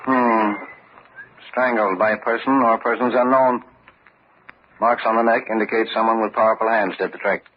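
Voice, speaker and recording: An actor playing a formal, precise medical examiner in a vintage radio mystery, speaking in a clinical, pondering way. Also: male voice, on the low side, fairly even intonation, normal speed, natural voice. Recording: dull, hissy old radio recording.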